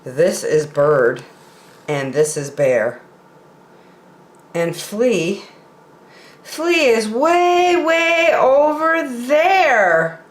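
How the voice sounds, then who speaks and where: A woman reading picture books aloud expressively for children, with measured dramatic pauses, in a roomy space. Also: on the high side, sing-song. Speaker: children's story time reader.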